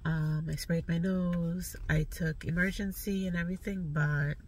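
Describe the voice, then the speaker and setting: A woman's voice: lowish voice, steady tone, a little slow, recorded from a distance, a little hiss or hum. A woman in her thirties with a stuffy nose, chatting casually to the camera from a parked car. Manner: chatty, rambling, soft-spoken with fillers. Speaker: vlogger. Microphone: phone camera on dashboard.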